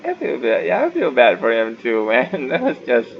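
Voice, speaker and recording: A man giving casual playthrough commentary with a gravelly voice, laughing easily, muttering to himself and swearing. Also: medium pitch, wildly swooping pitch, normal speed. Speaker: gamer playing a horror visual novel. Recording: muffled microphone with heavy background noise.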